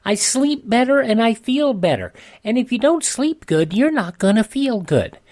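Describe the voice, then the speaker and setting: A woman's voice: fairly high pitch, very animated, normal speed, a little mellow. A woman with an American accent hosting a radio show and reading ads conversationally.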